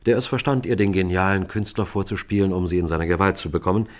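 A man playing a composed detective who narrates and questions in a German radio crime play, measured and pointed. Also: deep voice, fairly even intonation, natural voice. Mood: measured, grave. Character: composed, probing male detective narrating his case.

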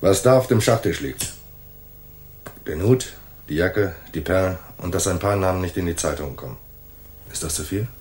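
A man's voice: sits low, normal speed, pauses often, a little mellow, lightly acted. A man playing a laconic hardboiled private detective in a radio noir drama, dry and matter-of-fact. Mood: dry, firm. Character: laconic hardboiled male private detective narrating his case. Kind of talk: 1980s radio noir narration and dialogue.

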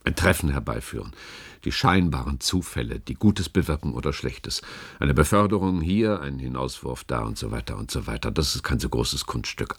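An actor playing a calculating, grave older department chief in a German radio play, speaking with deliberate, persuasive rhetoric and a gravelly, husky voice. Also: male voice, a bass voice, exaggerated voice.

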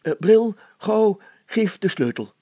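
A man voicing a pompous, fussy, excitable town mayor in a story, exclaiming and stammering when flustered. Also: high voice, slow, dry recording, pitched above the performer's own voice, exaggerated voice. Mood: urgent.

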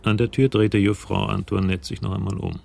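Male narrator for radio crime drama: low, creaky voice, sober scripted delivery. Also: flat delivery, a little fast, darkish tone.

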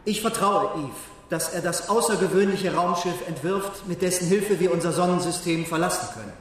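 An actor playing a persuasive, self-assured project leader in a radio drama, speaking in an earnest, declarative way. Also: male voice, high voice, normal speed, a bit bright. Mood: impassioned, urging. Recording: echoey room, music behind.

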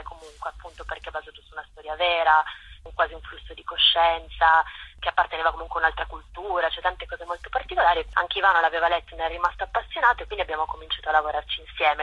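Husky bright-voiced woman director. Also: lowish voice, no room sound, lo-fi sound. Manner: thoughtful, with frequent restarts. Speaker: theatre director. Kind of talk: interview answering questions.

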